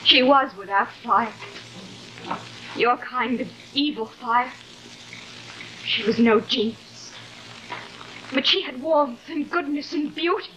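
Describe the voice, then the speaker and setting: A worn-out but defiant young actress daughter, old 50s tv drama, high and dramatic, bit of hiss. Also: female voice, slow, long pauses, sharp tone, full character voice, rough recording. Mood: bitter. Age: young adult. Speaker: actress in a television horror drama. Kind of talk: theatrical 1950s live television acting. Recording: hissy old 1950s television soundtrack.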